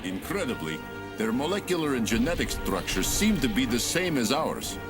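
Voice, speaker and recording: An actor playing a grave veteran starship captain, giving a formal, solemn report as narrator in a measured way. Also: male voice, medium pitch, big pitch swings, over loud music, lightly acted. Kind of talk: scripted dramatic narration.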